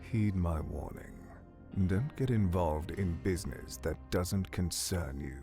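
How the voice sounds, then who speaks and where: Man playing a low, icy English outlaw. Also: expressive, warm tone, soft background music. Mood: stern warning. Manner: slow, terse, stern. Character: icy, menacing male outlaw gunman in a Western. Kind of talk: visual novel voice acting.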